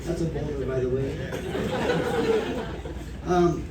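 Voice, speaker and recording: A man in his sixties doing a stand-up comedy set, rambling and halting, with lots of fillers. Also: fairly high pitch, fairly even intonation, far from the mic, a loud crowd. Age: 60s. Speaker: stand-up comic. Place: comedy club stage, audience laughing. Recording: echoey club room recorded from afar with noise.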